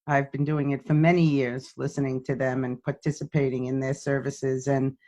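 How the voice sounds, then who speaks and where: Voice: mid-range voice, level tone, normal speed, mellow. A person sharing on a video call, talking earnestly and repeating words for emphasis. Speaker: event participant.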